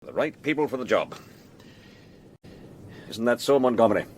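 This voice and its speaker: A man acting in a radio drama as a senior police officer, with a brisk, wry, authoritative manner. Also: medium pitch, animated, a little mellow, slight background noise, natural voice. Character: brisk, dry senior male police boss.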